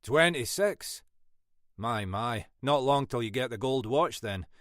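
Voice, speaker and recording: A man's voice: medium pitch, normal speed, no echo, studio quality, close to an everyday voice. A man voicing a weary older police inspector in an audiobook story, with a wry, avuncular, teasing manner. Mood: amused, teasing.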